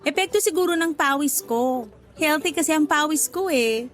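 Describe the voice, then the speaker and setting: A woman's voice: sits high, normal speed, close to an everyday voice. A woman acting a flirty, easily jealous food-stall vendor in a Tagalog radio drama, with playful, huffy delivery. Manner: playful banter turning to indignant huffs. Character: flirty, easily jealous female cassava cake vendor, teasing and touchy.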